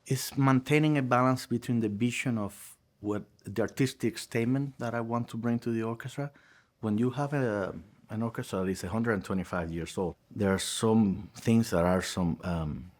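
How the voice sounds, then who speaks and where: A man's voice: fairly low pitch, normal speed. A man from Cuba, answering interview questions earnestly as a music director. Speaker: music director and guitar professor.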